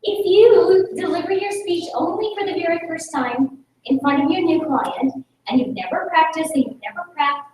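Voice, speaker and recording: A high-pitched woman from the Philippines, a native Tagalog speaker, speaks to a group with energy and keeps pulling the audience in with questions. The room is very echoey, and she sounds a little distant from the mic. Faint noise sits under her voice. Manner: energetic, rhetorical, engaging the audience. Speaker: speaker at a club meeting. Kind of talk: public speaking talk with Q&A. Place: meeting room.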